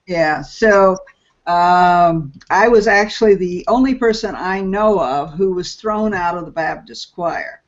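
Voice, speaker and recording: A woman's voice: lowish voice, unhurried. A woman hosting an interview, chatting as a storyteller who pokes fun at herself. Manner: chatty, self-deprecating storyteller. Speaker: podcast host. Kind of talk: casual interview chat. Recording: dull lo-fi sound.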